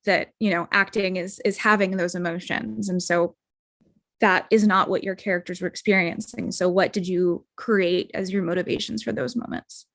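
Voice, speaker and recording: A woman's voice: on the low side, steady tone, moderate pace, gravelly, no room sound. A woman moderating a casual question-and-answer session over a video call, encouraging and a little hesitant in her phrasing. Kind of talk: casual post-show Q&A.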